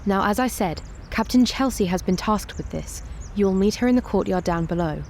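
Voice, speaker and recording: A woman playing a guarded, commanding queen in a tabletop roleplay, speaking in a formal, measured way. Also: mid-range voice, darkish tone, a little hiss or hum, lightly acted. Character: composed, guarded, commanding young queen wary of rivals. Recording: music playing behind.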